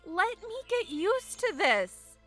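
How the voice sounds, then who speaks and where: A woman's voice: very high-pitched, very animated, slow, a bit bright, soft background music, full character voice. A woman playing a weary, stubborn airship pilot and mechanic in a fantasy audio drama, plain-spoken and insistent. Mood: weary, pleading.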